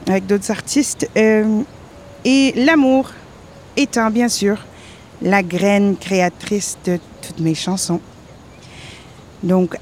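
A woman from northern Ontario whose first language is Spanish, introducing her songs in a soft, conversational way at home. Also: medium pitch, a little hiss or hum. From northern Ontario, Canada. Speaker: singer-songwriter introducing songs. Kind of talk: casual stage talk between songs.

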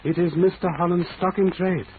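Actor as a courteous, clipped-speaking detective full of proverbs; high voice, lo-fi old radio sound with light hiss. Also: male voice, little pitch movement, fairly quick, lightly acted. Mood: calm, formal. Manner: clipped, dropped articles, formal proverbs. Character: wise, polite middle-aged male detective. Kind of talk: 1940s radio acting. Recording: tinny hissy old radio recording.

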